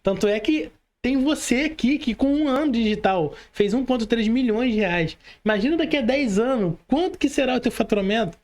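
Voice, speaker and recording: A man's voice: extremely high pitch, a little fast, few pauses, darkish tone. A young Brazilian man hosting a podcast casually, informal and slangy, often checking that his guest agrees. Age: young adult. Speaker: podcast host.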